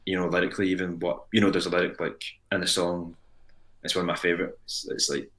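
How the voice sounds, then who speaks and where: Scottish male musician guest, deep voice, casual and rambling. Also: flat delivery, quick pace, a bit bright. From Scotland. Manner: rambling, frequent restarts and "like" fillers. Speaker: band frontman guest. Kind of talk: casual interview chat.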